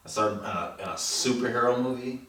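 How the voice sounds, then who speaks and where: A man's voice: medium pitch, fast, a bit bright. A man in his thirties talking loosely and casually about a film, with slang and emphatic swearing. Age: 30s. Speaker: film review podcast co-host. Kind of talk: casual chat, film review. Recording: roomy echo, distant microphone.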